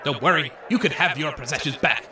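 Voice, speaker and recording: A man's voice: on the high side, brisk, heavy background noise, lightly acted. A man acting as a brash wasteland weapons salesman, theatrical and grandiose, in a comedy radio drama. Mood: salesmanlike. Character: brash male wasteland arms salesman showman. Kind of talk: comedy radio drama, stage announcer patter. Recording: echoey, slightly distant from the mic.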